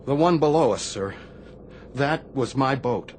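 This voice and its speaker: Actor as haunted Navy chief, swooping pitch. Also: male voice, lively intonation, a little hiss or hum, natural voice. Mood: troubled. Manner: halting, troubled. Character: haunted, guilt-ridden male Navy chief, troubled veteran. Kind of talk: radio drama acting.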